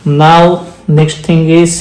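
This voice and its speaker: A man's voice: on the high side, monotone, very slow, a little mellow, roomy sound, rough recording. A man giving a casual hands-on tutorial, explaining step by step as he works and mixing in English phrases. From India. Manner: explains step by step while working. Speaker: moto vlogger giving a setup tutorial.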